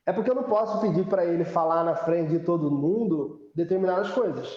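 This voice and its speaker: A Brazilian man, a history teacher, explaining his methods in a casual, halting way and posing questions to himself. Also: high-pitched, dark tone. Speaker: public school history teacher. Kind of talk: casual live online discussion. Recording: very echoey room, dull online audio.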